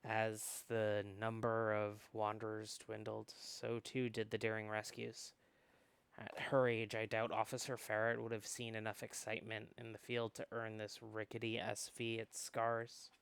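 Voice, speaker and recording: A co-host of a book club who chats casually and reads a visual novel aloud, animated and prone to rambling asides. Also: medium pitch, unhurried, vocal fry, clean tone, no echo. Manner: animated, rambling, self-interrupting. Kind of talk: casual chat mixed with dramatic read-aloud.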